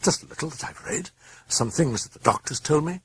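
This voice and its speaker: A man's voice: on the high side, animated, a little fast, slight background noise, pitched above the performer's own voice, close to an everyday voice. A man voicing a frightened, desperate mother who speaks anxiously and pleads for help. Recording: loud music behind the voice.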